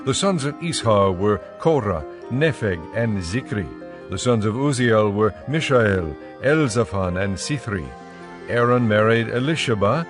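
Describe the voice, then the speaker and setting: Low-voiced male scripture narrator.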